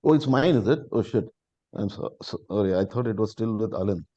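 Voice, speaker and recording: A man's voice: on the low side, animated, fairly quick, warm tone. A man answering as a quiz contestant, casual and hesitant, in an informal way. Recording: dull, muffled lo-fi audio.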